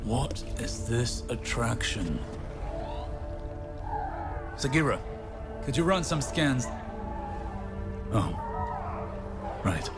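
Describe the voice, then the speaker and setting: Brief: an intense, lecturing scholar-mage for a game, mid-pitched voice, loud score behind. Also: male voice, big pitch swings, over loud music. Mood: musing. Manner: lecturing, deliberate and intellectual. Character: brooding scholarly male warlock, intense and analytical. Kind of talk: video game cutscene acting. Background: loud orchestral score.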